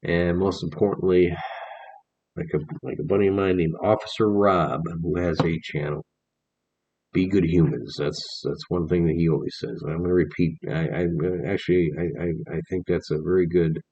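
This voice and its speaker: A man's voice: sits low, normal speed, dark tone, dull audio, a little hiss or hum. A man in his forties giving an earnest, rambling talk to camera in a casual vlog, with many fillers and restarts. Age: 40s. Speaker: vlogger. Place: indoors, on camera.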